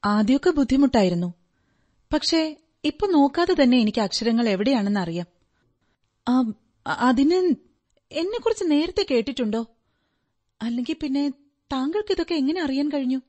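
A woman's voice: high voice, big pitch swings, moderate pace, pauses often, a little mellow. A woman playing an earnest, talkative, polite client who explains her troubles in detail in a radio drama. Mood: polite, curious. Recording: radio drama with music behind. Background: music.

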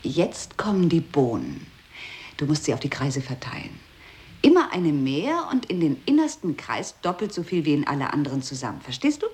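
A woman's voice: low voice, very animated, moderate pace, darkish tone. An actress playing a playful, rule-setting woman with a spiteful streak in an avant-garde radio play, speaking in cryptic, clipped lines. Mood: playful, instructing.

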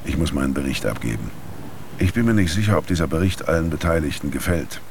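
An actor playing a sober male agent, dictating a formal, measured report in a radio drama. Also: very deep voice, expressive, gravelly, noisy background, heavily acted. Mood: grave, uneasy.

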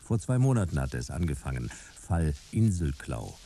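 Deep, gravelly detective narrator. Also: male voice, big pitch swings, moderate pace, mellow, slight background noise, exaggerated voice. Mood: wry, terse. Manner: terse, wry. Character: world-weary male detective narrating his case. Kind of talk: scripted noir narration in radio drama.

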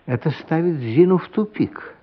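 Expressive, lively male radio play narrator. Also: on the high side, normal speed. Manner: dramatic, storytelling. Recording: muffled radio play recording.

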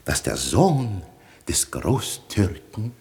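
Sly, swooping flatterer. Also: male voice, low-pitched, drawn-out words, exaggerated voice. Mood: grandiose. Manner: oily, grandiose, theatrically hesitant. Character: sly flattering male trickster posing as well-travelled gentleman. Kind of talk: 1960 German radio comedy acting.

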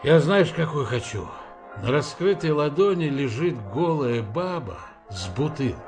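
An actor playing a cheeky, chatty young wartime sailor who likes to grumble, in a Russian radio drama. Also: male voice, a little high, sing-song, unhurried. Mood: eager, grumbling. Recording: music behind the voice.

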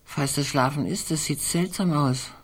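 Older woman, husky voice, wry, musing, fussy eccentric, literary radio play. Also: low voice, normal speed. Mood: brooding, wry. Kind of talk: literary German radio drama acting.

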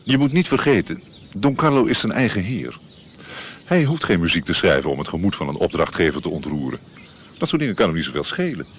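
A worldly court musician in a radio play. His voice is low and swings expressively through dry, laconic remarks, over faint outdoor noise. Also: big pitch swings, fairly quick. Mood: dryly knowing. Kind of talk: radio drama dialogue.

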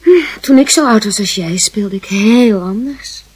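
A woman's voice: medium pitch, lively intonation, unhurried, darkish tone, breathy edge, low-quality recording. A woman playing a teasing young maidservant who scolds the child she minds, in a Dutch radio play. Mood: teasing, scolding. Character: teasing young maidservant minding a little boy.

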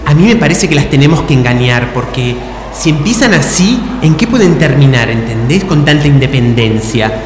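A woman's voice: rumbling low, expressive, moderate pace. Brief: actress as conspiratorial, bossy Rioplatense female sidekick, chatty improv comedy, noisy echoing venue. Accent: Rioplatense Argentine. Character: bossy scheming female friend, an excitable amateur inventor. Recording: echoey hall, clearly noisy.

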